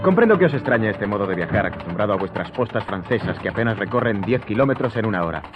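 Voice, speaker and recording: An actor playing a wealthy, courteous count in a radio drama, with a formal, self-possessed, authoritative manner. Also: male voice, on the low side, lively intonation, quick pace, natural voice. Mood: proud, assured. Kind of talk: Spanish-language radio drama acting. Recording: muffled audio under music.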